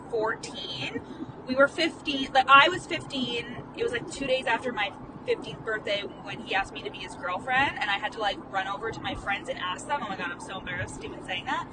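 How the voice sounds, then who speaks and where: A woman in her late twenties with a stutter, talking through a candid, rambling vlog monologue full of "like" fillers and the occasional swear. Her voice sits a little high. The mic is set back from her in the car, and steady road noise is clearly heard. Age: late 20s. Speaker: life coach and podcast host. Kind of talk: casual vlog monologue while driving. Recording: distant phone mic in a noisy car.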